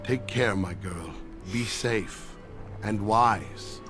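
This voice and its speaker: A man playing a gentle, resigned middle-aged elf father in a fantasy video game, speaking haltingly. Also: fairly low pitch, over music. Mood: tender, sad.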